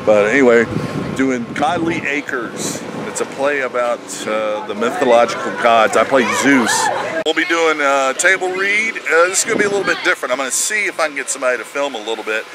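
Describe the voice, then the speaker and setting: Man narrating casually over loud outdoor noise. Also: mid-range voice, expressive, fairly quick. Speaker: vlog host, actor. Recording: noisy outdoors, traffic hum.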